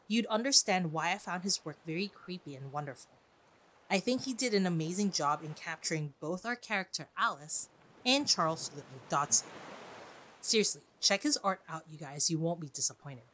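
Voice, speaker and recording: A woman hosting a podcast in a chatty, expressive monologue, speaking directly to her listeners. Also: mid-range voice, slight background noise. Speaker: podcast host. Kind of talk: podcast monologue on classic books.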